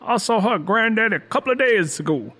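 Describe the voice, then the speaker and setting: A man's voice: high-pitched, expressive, fairly quick, fairly bright tone, soft background music, pitched above the performer's own voice. A man voicing a skeptical, dismissive rural man with folksy speech.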